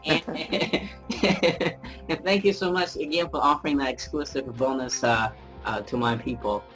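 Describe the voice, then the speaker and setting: A man with a slightly raised pitch hosts an interview, chiming in with quick affirmations. He comes across agreeable and encouraging. Faint guitar music sits behind him. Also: fairly even intonation, fairly bright tone. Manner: friendly, agreeable, with short affirmations and fillers. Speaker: host, interviewer. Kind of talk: casual interview.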